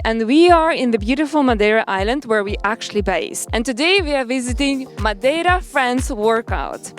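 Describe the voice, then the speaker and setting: A woman hosting a magazine video, interviewing people at an outdoor workout meetup, upbeat, with slightly non-native phrasing. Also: sits high. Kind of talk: casual on-location interview.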